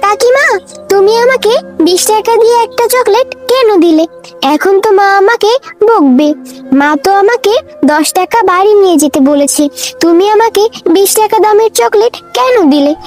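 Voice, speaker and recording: An extremely high, childlike voice of an earnest little cartoon girl. She pleads and complains like a child who is easily hurt. Faint music plays behind her. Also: darkish tone. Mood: upset, protesting. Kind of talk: Bengali children's cartoon voice acting.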